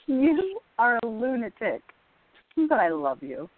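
A sensible, affectionate young woman student acted by a woman with a fairly high, animated voice. She gently chides in radio play style. The recording is thin, as if down a phone. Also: slow pace, no echo, heavily acted. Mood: amused, fond. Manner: gently chiding. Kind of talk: radio drama acting. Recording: dry, thin phone-like band.

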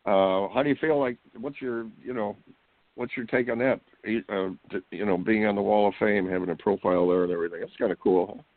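A man's voice: on the low side, fairly even intonation, fairly quick, dry recording. Chatty male radio host over the phone. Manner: rambling, chatty, jokey. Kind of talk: talk radio interview. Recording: tinny phone line.

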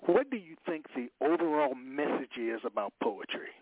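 A man's voice: medium pitch, animated, normal speed, dry recording. Male interviewer with brief, encouraging replies, dry and tinny. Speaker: radio host. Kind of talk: radio interview. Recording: tinny phone-like sound, dry.

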